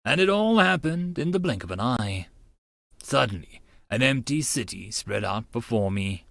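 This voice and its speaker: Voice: medium pitch, expressive, normal speed, gravelly, a bit bright, dry recording, clean studio sound. An audiobook narrator giving a theatrical, emphatic first-person reading of an anime-style story. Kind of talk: dramatic first-person reading of a visual novel.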